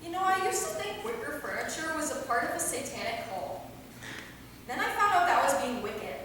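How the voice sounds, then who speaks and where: A wisecracking, cocky vampire acted by a woman in a staged reading. The voice is high and self-amused, heard far from the mic in a hugely reverberant hall with clear noise. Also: sing-song, a little fast, fairly bright tone. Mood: teasing. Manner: joking, slangy, self-amused. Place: theater stage, recorded from a distance.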